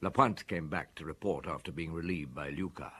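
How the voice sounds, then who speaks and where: An actor playing a weary, brusque police chief inspector in a radio drama, gruff and curt. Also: male voice, a bass voice, a little fast, sharp tone, heavily acted. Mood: brooding. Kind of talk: radio drama acting and narration.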